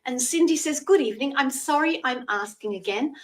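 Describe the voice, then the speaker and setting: A Scottish woman in her sixties giving a live tarot reading, chatting in a gentle, reassuring way and using terms of endearment. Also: high voice, lively intonation, fairly quick, recorded from a distance. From Scotland. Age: 60s. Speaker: psychic tarot reader.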